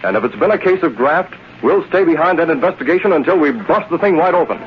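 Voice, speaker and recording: An actor playing a brisk, commanding American newspaper publisher with a crisp, businesslike manner in old radio drama style. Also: male voice, on the high side, animated, lightly acted. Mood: determined. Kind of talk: 1930s-40s radio drama acting. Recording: muffled noisy vintage radio broadcast.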